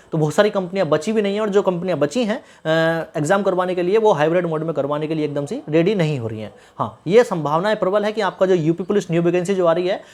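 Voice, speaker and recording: Fast-talking man explaining. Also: high voice, darkish tone. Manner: instructive, repeats phrases for emphasis. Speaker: exam news YouTuber addressing candidates. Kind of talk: informal explainer with advice to viewers.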